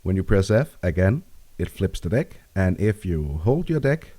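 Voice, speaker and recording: A man from Denmark with a Danish accent, calmly explaining a software tutorial step by step. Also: deep voice, normal speed. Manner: calm, steady step-by-step explaining. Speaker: tutorial maker. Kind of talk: screen-recorded software tutorial.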